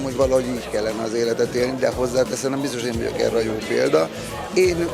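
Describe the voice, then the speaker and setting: Middle-aged male interviewee, mid-pitched, unassuming and conversational, loud music in the background. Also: gravelly. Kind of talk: casual interview answers. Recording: loud music behind, outdoor festival. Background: loud music, vehicle noise.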